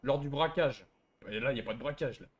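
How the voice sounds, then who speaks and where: Argumentative man, slangy, mid-pitched voice. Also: a little fast, muffled recording, a crowd in the background. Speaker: online roleplay player. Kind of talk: casual in-game argument.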